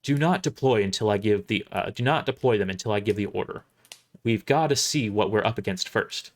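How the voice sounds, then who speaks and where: A man's voice: on the low side, a little fast, close to an everyday voice. A man playing a blunt, wary space fleet admiral giving commands in a sci-fi audio drama. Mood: stern, wary.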